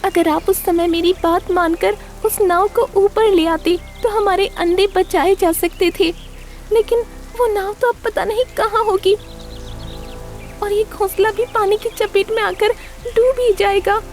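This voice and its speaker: A woman's voice: extremely high pitch, fairly even intonation, a little slow, faint music behind, pitched above the performer's own voice. A woman voicing an earnest, worried mother bird in a cartoon story, pleading and exclaiming. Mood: reproachful, worried. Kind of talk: Hindi cartoon story character acting.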